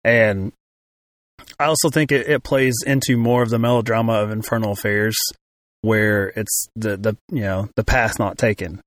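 A man's voice: on the low side, fairly even intonation, normal speed, no echo. Thoughtful man in casual film talk. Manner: thoughtful, many restarts and fillers. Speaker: film podcast co-host.

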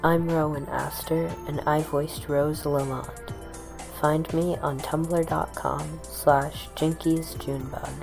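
A woman's voice: sits low, steady tone, takes it slowly, creaky, over music. A woman, a voice actor, giving her credit and spelling out her handle letter by letter.

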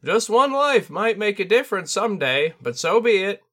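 An ancient bird-spirit sword master speaks in a sharp, piercing squawk, pitched far above an ordinary man's voice, as a male storyteller puts it on. He lifts his questions in a sing-song "yes?" and sounds loud and insistent. It is a cryptic, otherworldly teacher from an audiobook. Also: sing-song, moderate pace, heavily acted.

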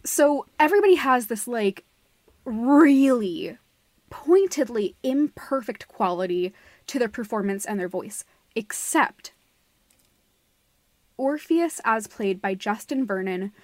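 A woman's voice: a little high, lively intonation, takes it slowly, darkish tone, dry recording. A woman co-hosting a podcast, discussing theatre in a casual, opinionated way, gushing and repeating phrases for emphasis. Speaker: podcast co-host.

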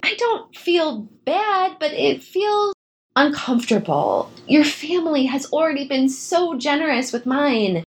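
A woman's voice: sits high, expressive, measured pace. A woman acting in a modern audio drama as a proud, guarded, wistful young writer, with a dry, hesitant, naturalistic delivery.

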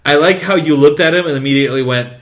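A man co-hosting a casual podcast, speaking English as a second language, rambling excitably and swearing constantly. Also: a little high, quick pace. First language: not English. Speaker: podcast co-host. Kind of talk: casual chat.

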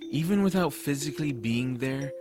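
A man's voice: mid-range voice, lively intonation, normal speed, over music. A man reading scripted first-person story narration for a family fantasy audiobook.

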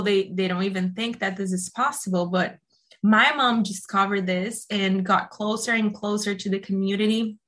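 A woman's voice: mid-range voice, fairly bright tone. A woman from Brazil, a guest speaking earnestly in a conversational interview with small hesitations.

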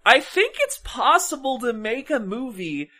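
A podcast co-host chatting casually about films in an animated, opinionated way, with some swearing. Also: high voice, a little slow. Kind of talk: casual film discussion chat.

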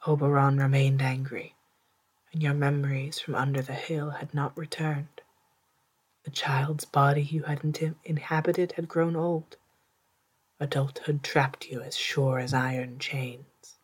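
A narrator with an androgynous, mid-pitched voice reads a literary story at a slow pace. The delivery is hushed and deliberate, with a soft, breathy edge and careful pauses between phrases. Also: flat delivery. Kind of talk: scripted literary narration in second person, storytelling.

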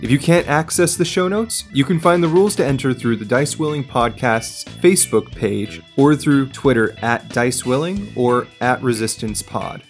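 A man speaking as a player and announcer on a tabletop role-playing podcast. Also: mid-range voice.

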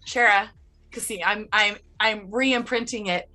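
A woman with a Utah accent hosting a podcast interview, chatty and quick to laugh. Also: medium pitch, steady tone, bright, forceful tone, soft background music, low-quality recording. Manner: bubbly, laughs often, chatty. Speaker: podcast host. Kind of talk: casual interview chat.

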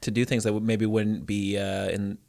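A man co-hosting a podcast in casual talk, often halting as he searches for words. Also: fairly low pitch, level tone, creaky, darkish tone. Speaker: podcast co-host.

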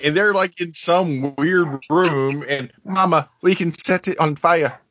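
A man's voice: a little high, a little slow, fairly bright tone, dry recording, dull audio. A man co-hosting a podcast, recapping scenes in a dry, sarcastic and profane way. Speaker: podcast co-host. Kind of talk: casual chat, recapping a film.

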